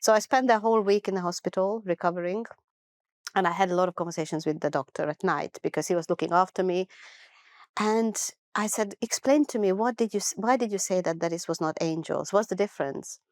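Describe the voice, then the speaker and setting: A middle-aged woman from Hungary with a Hungarian accent, telling her life story as an interview guest in an earnest, unhurried way with thoughtful pauses. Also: on the low side, dry recording, studio quality.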